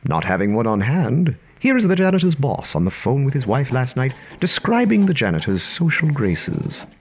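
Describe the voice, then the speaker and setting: A man narrating a scripted story in a theatrical, wry, literary manner with deliberate phrasing. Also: medium pitch, big pitch swings, slightly rough.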